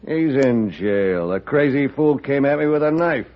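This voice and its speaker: An actor playing a gruff, cocky, scornful railroad boss in a 1950s Western radio drama, with folksy, blunt frontier talk. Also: male voice, mid-range voice, lively intonation, a little slow, natural voice. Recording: muffled vintage radio recording.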